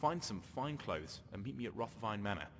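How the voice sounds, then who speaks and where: Game rogue: low, animated, wry scheming slaver-hunter in a reverberant space. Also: male voice, normal speed, a little hiss or hum. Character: sly male slaver-hunter rescuing his lover. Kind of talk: game character dialogue.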